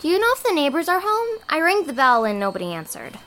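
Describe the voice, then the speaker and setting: A woman's voice: high voice, huge pitch swings, fast, fairly bright tone, a little hiss or hum, heavily acted. An actress playing a friendly young local woman in an audio drama, casual and chatty.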